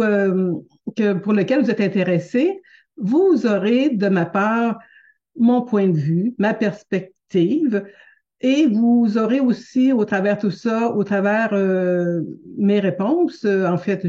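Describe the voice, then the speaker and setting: Québécois woman teacher, mellow voice, halting delivery with lots of fillers, lo-fi webinar mic. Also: medium pitch. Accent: Québécois French. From Quebec, Canada. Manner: hesitant, many fillers and restarts, gentle and earnest. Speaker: teacher hosting a live webinar. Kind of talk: unscripted live webinar talk. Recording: dull lo-fi webinar audio.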